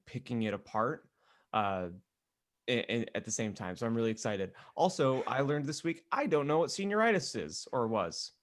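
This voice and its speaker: A man's voice: on the low side, fairly bright tone. A man co-hosting a casual podcast, talking in a chatty, rambling way. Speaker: podcast co-host.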